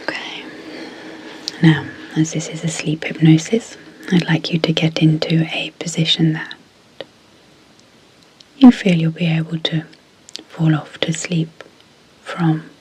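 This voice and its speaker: A woman speaking in a soft, breathy whisper as an ASMR sleep hypnosis guide, deliberately and in short phrases with pauses. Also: low-pitched, completely flat delivery, slight background noise, low-quality recording. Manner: slow, deliberate, pausing between short phrases.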